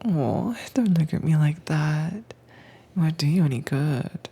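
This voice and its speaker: A woman performing a whispered roleplay as a calm, commanding agent who keeps a werewolf caged, speaking in a breathy, teasing manner close to the mic. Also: sits low. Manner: cool, teasing, unhurried. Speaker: audio drama voice actress. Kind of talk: soft-spoken whispered roleplay audio.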